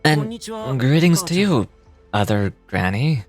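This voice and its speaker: A man's voice: fairly high pitch, very animated, slow, music in the background. A man voicing an earnest, literal-minded young male video game character with formal, theatrical phrasing. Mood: earnest puzzlement.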